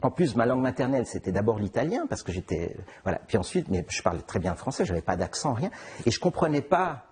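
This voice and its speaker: A middle-aged man from Switzerland, answering interview questions in a halting way, with many restarts and fillers. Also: mid-range voice, big pitch swings, a little mellow, slightly rough. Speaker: interviewed author. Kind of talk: conversational interview.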